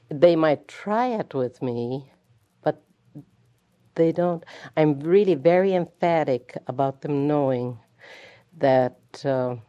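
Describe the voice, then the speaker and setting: A woman's voice: sits low, warm tone. An older woman teacher recalling her classroom discipline in an interview, speaking hesitantly with many fillers and restarts. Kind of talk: oral history interview. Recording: dull lo-fi tape.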